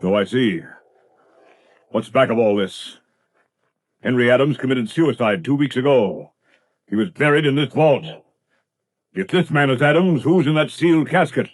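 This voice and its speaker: A brisk, authoritative police commissioner in an old radio thriller, acted by a man. His delivery is clipped and animated, with a lot of rise and fall, on a vintage 1930s broadcast. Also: medium pitch, dry recording, natural voice. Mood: astonished. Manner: barking orders, clipped. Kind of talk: 1930s radio drama acting.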